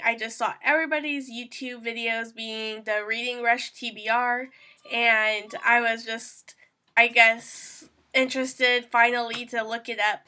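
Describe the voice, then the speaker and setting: Young woman host, congested and sniffly, bright higher voice, rambling casual chat. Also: clear, resonant tone. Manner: rambling, chatty, many fillers. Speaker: booktuber host.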